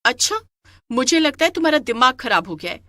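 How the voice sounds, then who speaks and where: A woman voicing a bold, determined village woman in an animated story, speaking assertively and with emphasis. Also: high voice, a little fast, no echo, voice pushed up, heavily acted. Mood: defiant, firm. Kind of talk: animated moral story character acting.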